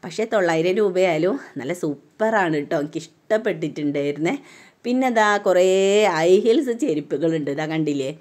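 Chatty Kerala mom with a low voice. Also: female voice, expressive, moderate pace. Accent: Malayalam, Kerala. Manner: chatty, tags sentences with a soft "tto". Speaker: vlogger, mother touring a mall with her daughter. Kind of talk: casual walk-around vlog narration. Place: large indoor shopping mall. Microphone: phone camera held while walking.

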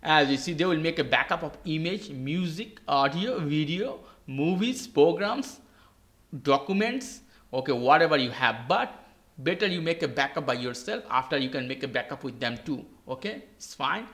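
A man with a fairly high, very bright and forceful voice walks through a how-to as a tutorial host. He uses short, repeated instructions in non-native English phrasing. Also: expressive, normal speed. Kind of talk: step-by-step how-to narration. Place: indoors at a desk with a laptop.

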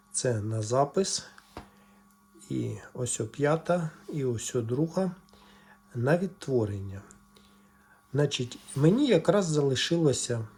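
Man explaining a repair, haltingly. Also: mid-range voice, dark tone, slightly rough. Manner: halting, explanatory, thinking aloud. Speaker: electronics repair video presenter. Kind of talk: casual hands-on repair walkthrough.

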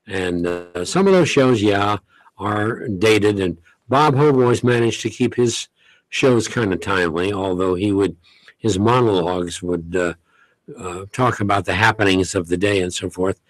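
A man's voice: on the low side, measured pace, no echo, low-quality recording. An older man, a radio show host, chatting genially as a guest on a studio talk show, joking and reminiscing. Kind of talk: casual interview talk. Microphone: studio boom mic.

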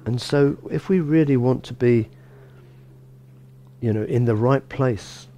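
A middle-aged man, a bishop, gives a relaxed sermon in a small church, talking conversationally with frequent restarts and "you know". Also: on the low side. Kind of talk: informal sermon.

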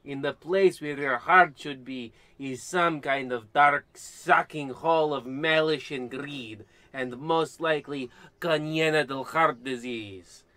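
Man putting on a doddering old woman, slow-talking. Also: a little high, sharp tone, no room sound. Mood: vengeful, theatrical. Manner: rambling, forgetful, theatrical. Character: doddering old woman, muddled, dotty, vengeful ex-teacher. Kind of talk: parody of old-time radio drama.

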